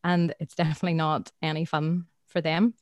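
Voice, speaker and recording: Northern irish lady, kinda low voice, dry close sound, chatty podcast guest. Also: flat delivery, brisk, dry recording. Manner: practical, friendly, many ums. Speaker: schools worker guest. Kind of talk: casual podcast chat.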